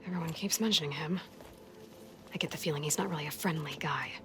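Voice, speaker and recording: A woman's voice: low voice, fast, music in the background. A woman playing a determined, wry young outsider in a naturalistic video game cutscene, persuasive and probing. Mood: dry, skeptical.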